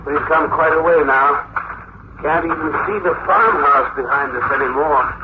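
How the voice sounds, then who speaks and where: An actor playing an earnest older male scientist and father in a 1950s radio drama, speaking gravely and deliberately. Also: fairly high pitch, natural voice. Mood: uneasy, urgent. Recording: tinny, hissy old radio recording.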